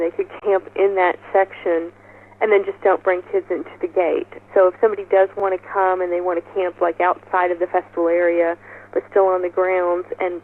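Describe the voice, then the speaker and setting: A woman speaking as an event organizer in an informal interview, explaining details in an upbeat, enthusiastic way over a muffled telephone line. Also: fairly low pitch. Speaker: festival organizer being interviewed.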